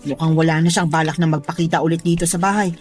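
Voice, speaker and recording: A woman playing a meek middle-aged mother in a radio drama, gentle and apologetic as she explains herself. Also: low-pitched, steady tone, fast talker, natural voice. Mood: apologetic, explaining. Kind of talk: Tagalog radio drama acting. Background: soft music.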